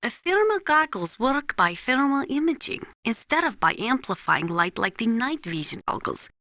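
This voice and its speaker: An actress playing a calm, serious weapons expert in a video game, briefing a soldier with precise, measured, instructive delivery. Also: female voice, a little high, no echo, clean studio sound, exaggerated voice. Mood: informative, cautioning. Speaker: military advisor character.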